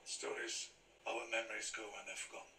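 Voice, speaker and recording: An actor playing an enigmatic, wistful older alien traveller in a television drama. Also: male voice, on the low side, fairly even intonation, fairly quick, slight background noise. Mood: wistful, quiet. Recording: distant, echoey TV playback with loud music.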